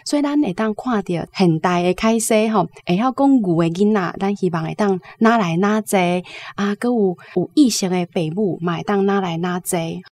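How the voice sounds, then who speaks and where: A woman's voice: medium pitch, moderate pace, hardly any pauses, darkish tone. A woman with a Taiwanese accent giving informal spoken commentary as an academic guest.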